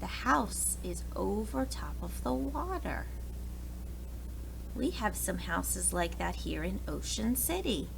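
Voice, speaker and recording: Slow, animated woman reading to young children. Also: medium pitch, poor audio. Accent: American. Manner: expressive, addressing young children with questions and asides. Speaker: preschool teacher. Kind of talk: read-aloud of a children's picture book. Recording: clear background noise.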